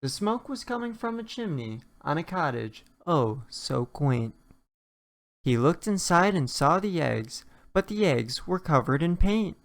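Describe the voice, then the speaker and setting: A narrator reading a rhyming children's storybook aloud in an expressive storytelling way. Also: mid-range voice, unhurried. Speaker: children's book reader.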